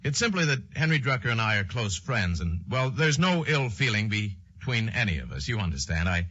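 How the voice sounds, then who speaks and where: An actor playing an earnest, strained investor, polite and halting, in mid-century American radio style. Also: male voice, fairly low pitch, sing-song, fairly bright tone. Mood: controlled, apologetic. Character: earnest, strained male investor, ruined and betrayed. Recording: dull, lo-fi old radio recording with music.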